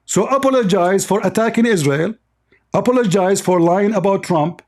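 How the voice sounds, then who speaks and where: High-voiced combative man with an Arabic accent. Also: moderate pace, a little mellow. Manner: combative, insulting, mocking, insistent. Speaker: debater. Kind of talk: heated live debate.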